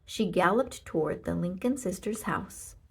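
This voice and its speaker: A woman narrating a children's storybook aloud, with expressive, deliberate delivery and emphasis on short sentences. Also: lowish voice, creaky, slightly rough. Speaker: storybook reader.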